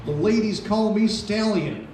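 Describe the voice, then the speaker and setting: A man's voice: high-pitched, a little slow, far from the mic, loud music behind the voice. A forty-year-old man from Japan performing a crude, self-deprecating stand-up routine on stage. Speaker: stand-up comedian. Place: comedy venue on stage. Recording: echoey live club recording with crowd. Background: audience.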